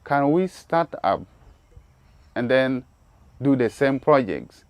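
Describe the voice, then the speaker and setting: A man giving slow, halting, heartfelt documentary testimony about his water project. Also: medium pitch, expressive, very noisy.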